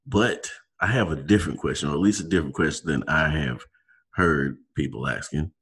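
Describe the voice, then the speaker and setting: A man's voice: extremely low pitch, brisk, fairly bright tone, breathy edge. A man interviewing a guest in casual chat, rambling and searching for words with many fillers. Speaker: podcast interviewer.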